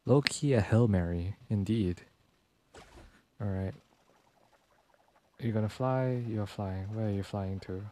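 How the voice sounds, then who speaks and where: A man's voice: lowish voice, unhurried, pauses often, mellow, a little hiss or hum. A young man giving casual, chatty live gameplay commentary as a streamer, thinking aloud in a laid-back way. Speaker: game streamer, virtual YouTuber. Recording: game music behind the voice.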